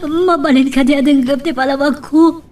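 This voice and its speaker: A woman's voice: high voice, fairly even intonation, moderate pace, darkish tone, natural voice. A woman playing a remorseful mother in an audio drama, tearfully pleading with her family for forgiveness. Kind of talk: Ilocano radio-style drama acting. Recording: distant microphone.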